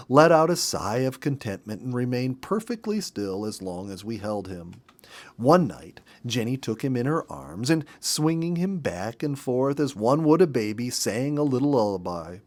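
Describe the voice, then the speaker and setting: A middle-aged man reads a nature story aloud. His mid-range voice rises and falls freely as he narrates, and he paces phrases deliberately, leaning on key words for dramatic effect. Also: mid-range voice, darkish tone. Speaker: storybook reader. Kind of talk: expressive read-aloud narration of a nature memoir.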